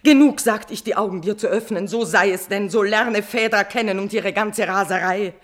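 A tragic queen played by a woman in a classical verse radio play, consumed by forbidden love. She declaims in a mid-pitched voice, feverish and stately, sinking into whispers and swelling into passionate outbursts. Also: normal speed, natural voice. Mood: ashamed, agitated. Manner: impassioned declamation, sudden drops to breathy whispers and swelling outbursts. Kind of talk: classical verse tragedy, stage-like radio drama acting.

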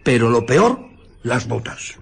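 An actor playing a grumbling, frightened, bumbling tramp in a radio drama, stammering and muttering. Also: male voice, mid-range voice, big pitch swings, slow pace, gravelly, slightly rough, heavily acted. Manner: stammering, muttering, easily flustered.

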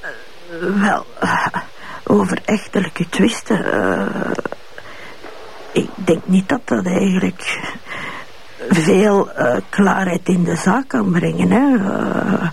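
An actress playing a cool, bitter Flemish widow in a radio drama, hesitating and repeating short, evasive answers. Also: female voice, fairly low pitch, very animated, slow pace, gravelly, pauses often, heavily acted, low-quality recording. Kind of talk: police interrogation scene in a radio drama.

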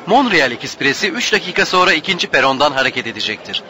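A railway announcer in a radio drama. His delivery is formal and quick, with lively intonation and a very sharp, bright tone. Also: on the high side, heavily acted. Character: formal railway station announcer, male, brisk. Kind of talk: public announcement in radio theatre.

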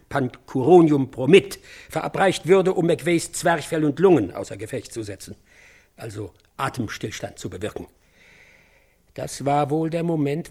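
A man's voice: on the high side, a little mellow. An actor playing a hard-nosed, precise male newspaper reporter giving an emphatic, clinical witness statement in a radio play.

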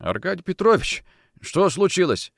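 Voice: medium pitch, big pitch swings, normal speed, vocal fry, a bit bright, dry recording, studio quality, voice pushed up, exaggerated voice. A man voicing a sick war veteran in an audiobook, bewildered and desperately protesting his innocence.

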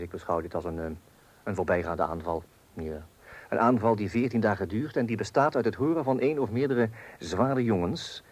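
An actor playing a bumbling, long-suffering husband in a comic radio play, husky, hesitant and muttering. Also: male voice, fairly low pitch. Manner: hesitant, muttering, repeating himself. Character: bumbling, gullible, long-suffering middle-class husband and homeowner.

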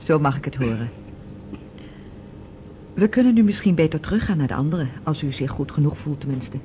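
An actor playing a courteous, reasonable scientist on a space crew in a science-fiction radio drama. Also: male voice, a little high, lively intonation, brisk, mellow, highs cut off, close to an everyday voice. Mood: solicitous. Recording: noisy old radio drama recording.

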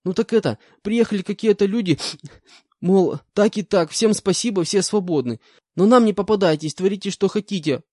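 A man's voice: sits high, expressive, brisk, a little mellow, dry recording, clean studio sound, voice pushed up. A man voicing a whiny young male convict with a scraggly beard, pleading in panic.

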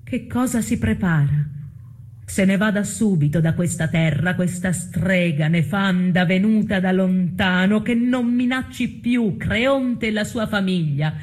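Woman acting stern tragic chorus, medium pitch, slow measured declamation, accusing, theatrical. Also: a little mellow, lightly acted. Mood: solemn, accusing. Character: solemn chorus narrator denouncing a dangerous foreign sorceress. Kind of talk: solemn classical tragedy declamation.

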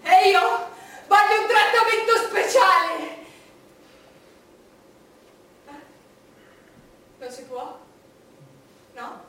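A woman playing an imperious, grandiose queen in a declamatory theatrical monologue, defiant and full of dramatic pauses. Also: very high-pitched, expressive, a bit bright, slight background noise, full character voice. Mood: demanding. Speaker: stage actress. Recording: echoey, distant stage recording with music.

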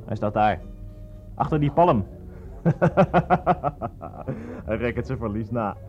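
A man's voice: fairly low pitch, slow, a little mellow. A man playing a brash, slangy barman of a shady bar in a radio play, cocky and chuckling, oily in manner. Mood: jovial, ingratiating. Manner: cocky, chuckling, fawning to police. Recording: muffled radio play recording with music.

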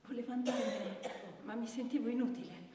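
An actress as a haunted, battered wife in a stage monologue. Her fairly high voice carries the weariness of someone worn down. It comes from off in a big, reverberant hall. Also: fairly quick, dark tone, lightly acted. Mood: hushed, wounded. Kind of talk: stage monologue with chanted counting rhymes. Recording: distant echoey hall recording, faint noise.

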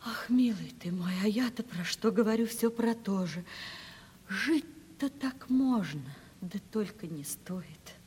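An actress playing an anguished, resigned young woman in love in a 1970s Soviet radio play, hushed and breathy. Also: medium pitch, animated, slow, slight background noise. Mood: aching tenderness. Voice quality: breathy, husky. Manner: heartfelt, plaintive, hushed. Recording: old radio play with long reverb.

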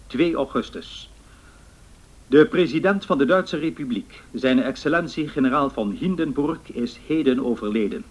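Guy doing 1930s radio news announcer for a drama, very formal, measured, middle-range voice. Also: mid-range voice, close to an everyday voice.

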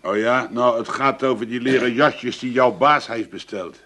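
An actor with an Amsterdam accent playing a pushy street con man in a radio play, smooth-talking and brusque. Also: mid-range voice, normal speed, bright, forceful tone.

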